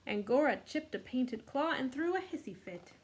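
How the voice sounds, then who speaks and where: A woman's voice: high voice, moderate pace. A woman hosting a children's storytime, reading a picture book expressively with casual asides. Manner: expressive, voices the characters' lines.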